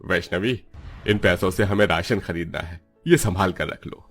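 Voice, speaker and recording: A man's voice: sits low, normal speed, a bit bright, breathy edge, faint music behind, heavily acted. A man playing a tired, kindly poor village father and labourer in a cartoon story. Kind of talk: Hindi cartoon dialogue.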